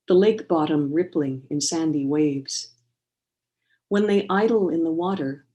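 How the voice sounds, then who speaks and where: A Canadian woman poet talking over a video call, measured and thoughtful, with many pauses and restarts. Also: lowish voice, darkish tone. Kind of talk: online book launch conversation and poetry reading.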